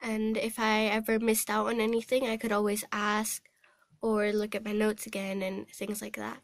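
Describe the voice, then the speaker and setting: A woman's voice: medium pitch, completely flat delivery, moderate pace. A young woman from Hong Kong, a voice-over trainee, giving casual, hesitant, thoughtful answers. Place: recording studio.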